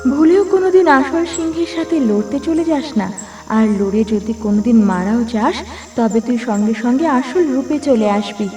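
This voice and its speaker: A woman's voice: a little high, lively intonation, moderate pace, mellow, echoey room, soft background music, natural voice. A woman voicing a solemn fairy who grants wishes in a cartoon fairy tale. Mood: cautioning.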